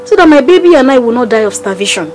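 A woman's voice: a little high, lively intonation, fast, darkish tone, soft background music, close to an everyday voice. A woman playing a weary, distressed young mother in a film drama, with a Nigerian accent and a sighing, lamenting manner. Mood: weary, resigned. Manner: sighing, lamenting, emphatic repetition. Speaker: actor.

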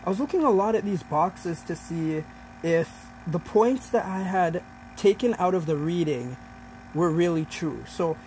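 A man's voice: high-pitched, lively intonation, unhurried, darkish tone, slight background noise, poor audio. A young man giving an informal, explanatory student presentation in a hesitant way, feeling out his points as he talks. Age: young adult.

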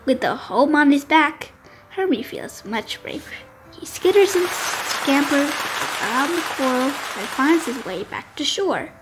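A woman narrating a storybook for young children with expressive, deliberate phrasing. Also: sits high, music in the background, low-quality recording.

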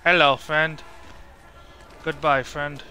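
A man with a fairly high, bright voice streams a video game and comments casually as he plays. He rambles and thinks aloud, with game music faintly behind him. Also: flat delivery, takes it slowly. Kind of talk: casual live gameplay commentary.

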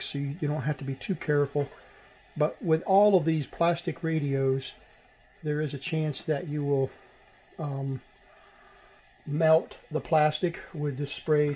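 A middle-aged man gives a casual hands-on tutorial as he works, thinking aloud in an unhurried way. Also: a little high, warm tone, rough recording. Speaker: electronics repair hobbyist and host. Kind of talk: casual hands-on tutorial narration while working. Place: small indoor workbench.

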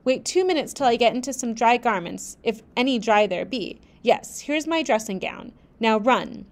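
A woman reading aloud, voicing a brusque, commanding adult gentleman who barks orders. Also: on the high side, expressive, moderate pace. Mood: alarmed.

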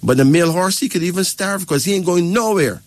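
A man's voice: high-pitched, brisk, dry recording. Lecturing man, dry sound. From Barbados. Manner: lecturing, keeps checking with "right?" and "okay?". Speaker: spiritual advisor. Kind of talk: casual advice talk.

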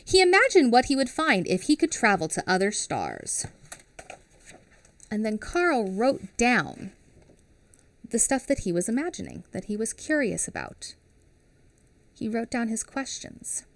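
A woman in her thirties reading a picture book aloud to children on a headset mic, pausing to explain and ask questions. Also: a little high. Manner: expressive, asks children questions. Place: small home studio with classroom backdrop.

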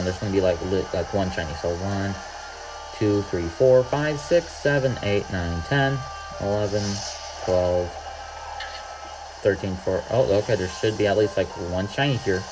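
A man's voice: lowish voice, noisy background. A young man giving casual, rambling gameplay commentary, drifting off topic and swearing freely. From Mexico. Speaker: gaming YouTuber. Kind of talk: casual let's-play commentary. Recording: loud game music behind the voice.

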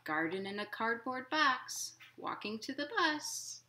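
A woman in her thirties hosting a storytime for young children at home, expressive and encouraging in simple words. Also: on the high side, unhurried. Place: living room at home.

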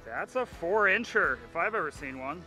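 A man's voice: sits high, lively intonation, moderate pace, over music. Playful outdoor referee man. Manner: mock-strict, theatrical. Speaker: referee of a fishing contest. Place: outdoors by a pond.

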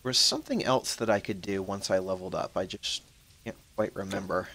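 A man's voice: low voice, fairly quick. Rambling young man narrating gameplay. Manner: rambling, chatty, swears at the game. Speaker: gaming channel host. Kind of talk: casual let's-play commentary. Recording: faint background noise, voice drops out when soft.

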